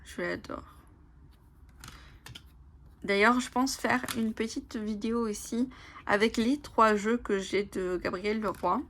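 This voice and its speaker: A woman whose first language is Italian, casually presenting oracle cards, reading their lines aloud slowly and whispering in awe, with many fillers. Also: a little high, steady tone, a little hiss or hum. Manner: soft, often whispering in awe, hesitant with fillers. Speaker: oracle card channel host.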